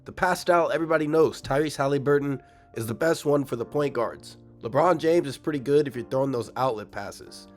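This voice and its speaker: A young man with a slightly raspy voice from a cold, casually breaking down a video game build for his viewers. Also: mid-range voice. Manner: fast, casual, addresses viewers directly. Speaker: gaming YouTuber. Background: faint background music.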